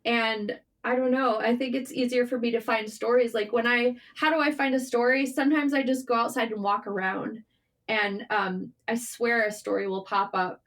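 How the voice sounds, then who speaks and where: A woman speaking as a writer guest in a casual, candid interview, informal and animated in manner. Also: on the high side, moderate pace. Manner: animated, informal, swearing, self-deprecating.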